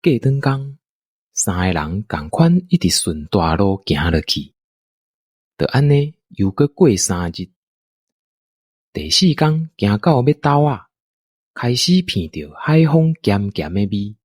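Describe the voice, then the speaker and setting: Man, Taiwanese accent, audio drama narrator, husky edge, dry close sound, expressive delivery. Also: fairly low pitch, no echo. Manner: unhurried, expressive storytelling delivery. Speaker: storyteller, narrator.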